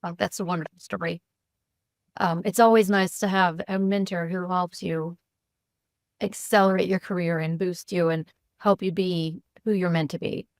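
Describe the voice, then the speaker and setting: A woman hosting a podcast interview, asking questions in a measured, supportive way. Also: lowish voice, steady tone, no room sound, studio quality.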